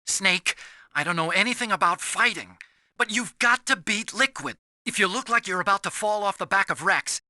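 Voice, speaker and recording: An earnest, nervous tech expert from a video game. His voice is bright and excitable, recorded dry and close. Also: extremely high pitch, dry recording, full character voice. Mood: worried.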